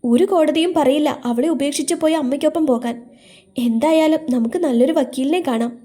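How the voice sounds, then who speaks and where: A woman voicing a steady middle-aged male family friend who speaks in a firm, reassuring way. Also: high-pitched, fairly quick, pitched above the performer's own voice, close to an everyday voice.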